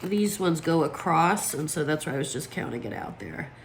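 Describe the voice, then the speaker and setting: Woman, froggy voice, lowish pitch, chatty with fillers, home desk, faint background hum. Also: very animated, normal speed, gravelly. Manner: chatty, lots of fillers, talks while placing stickers. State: froggy voice from allergies. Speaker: planner vlogger. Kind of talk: casual chat while crafting.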